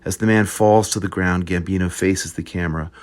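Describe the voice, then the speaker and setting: A man reading an essay aloud at a group reading, measured and descriptive. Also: deep voice, steady tone.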